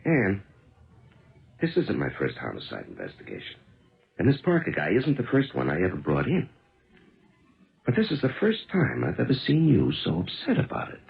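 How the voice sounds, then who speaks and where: Gravelly, wildly expressive American detective husband. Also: male voice, on the low side, moderate pace, pauses often, exaggerated voice. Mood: defensive, exasperated. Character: plodding, dogged male police homicide detective and husband. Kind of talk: 1970s American radio drama acting. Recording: muffled old radio broadcast.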